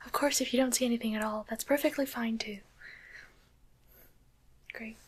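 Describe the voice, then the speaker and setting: A woman playing a gentle, courteous demon doctor in an ASMR roleplay, speaking in a soft breathy whisper with polite, unhurried questions. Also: on the high side, fairly even intonation. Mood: soothing, inquisitive. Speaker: ASMR performer.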